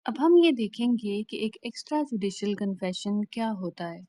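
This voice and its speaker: A woman with a Pakistani accent giving an explanatory law lecture in a deliberate, instructional way, restating each point plainly. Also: mid-range voice, animated, dark tone, no room sound, studio quality. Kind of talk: explanatory lecture mixing Urdu and English.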